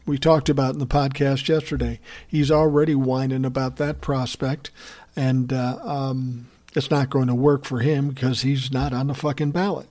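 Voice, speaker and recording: A man's voice: mid-range voice, flat delivery, moderate pace, a little mellow. A man hosting a political podcast, giving blunt, profane opinion commentary and answering listener messages in a conversational way.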